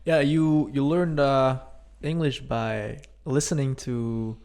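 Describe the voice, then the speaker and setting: A man co-hosting an interview, asking informal questions in short, halting bursts padded with "like". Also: medium pitch, steady tone, a little mellow. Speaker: podcast co-host interviewing. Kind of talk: casual chat, questioning.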